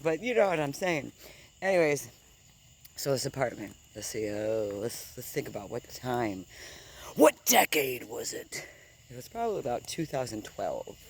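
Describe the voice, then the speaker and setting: A deep-voiced woman in her thirties telling a rambling, digressive story while walking outdoors, swearing casually and sometimes dropping to a whisper. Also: slight background noise. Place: outdoors on grass at night with insects.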